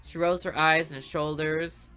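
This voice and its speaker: A woman's voice: low voice, level tone, measured pace, bright, forceful tone, clear, resonant tone, faint music behind. A woman reading a visual novel aloud for a let's play, with playful asides between the lines.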